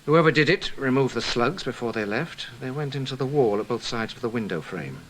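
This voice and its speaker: A man's voice: mid-range voice, expressive, brisk, lightly acted. A man playing a matter-of-fact investigator in a radio drama. He reports to his superior politely and factually, in an ordinary mid-range voice with faint noise behind. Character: deferential, matter-of-fact male British security investigator. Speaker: subordinate colleague reporting.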